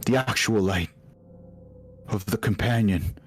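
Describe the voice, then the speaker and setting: A man playing a guilt-ridden, tormented fallen follower of a light god in a tabletop game, speaking haltingly and pleading. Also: fairly low pitch, slightly rough, faint music behind. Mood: remorseful, halting. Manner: halting, faltering, pleading delivery. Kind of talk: tabletop roleplay acting.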